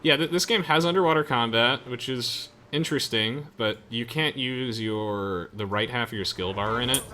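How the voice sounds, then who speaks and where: A man's voice: medium pitch, normal speed, bright, forceful tone, a little hiss or hum. A young man giving casual, chatty gameplay commentary to friends, explaining things with hesitant trailing asides. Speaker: gamer hosting a let's play.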